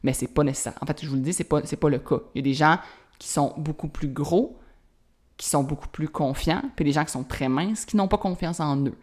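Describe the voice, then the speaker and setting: A woman's voice: sits low, a little fast, a little mellow. A woman in her thirties with a Quebec French accent, hosting a solo podcast in a casual, chatty way full of fillers and English slang. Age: 30s. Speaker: podcast host.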